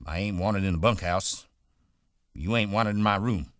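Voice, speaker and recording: A proud, aloof stable hand snaps back in a curt, defensive, bitter way, as a man reading aloud puts him on. The low voice is raised somewhat and sounds dry and close. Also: moderate pace, fairly bright tone, clear, resonant tone, no echo, lightly acted. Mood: hostile.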